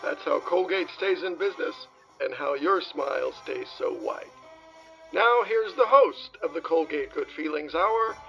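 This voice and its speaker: Bob Spears, a chipper 1930s radio announcer. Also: male voice, expressive, unhurried, creaky, a bit bright, dull audio, soft background music, heavily acted. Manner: announcer's sales pitch.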